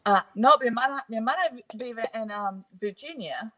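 A woman's voice: fairly high pitch, normal speed, fairly bright tone. A middle-aged woman chatting casually, laughing often and slipping into English. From Puerto Rico. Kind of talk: casual chat.

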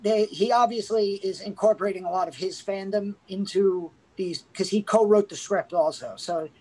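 A man co-hosting a casual movie podcast, relaxed and knowledgeable, talking with frequent fillers. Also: high-pitched, moderate pace, low-quality recording. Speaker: podcast co-host. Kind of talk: casual movie review chat.

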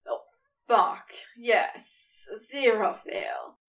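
A woman voicing a smug, teasing male serpent in a story, with a breathy murmur. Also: on the high side, huge pitch swings, drawn-out words, fairly bright tone, far from the mic, exaggerated voice. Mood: pleading delight.

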